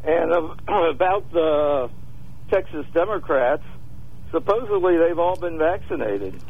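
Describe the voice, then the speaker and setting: Tinny phone caller, higher-pitched man. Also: expressive, measured pace, slightly rough. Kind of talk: casual chat. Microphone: telephone. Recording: tinny, noisy phone line.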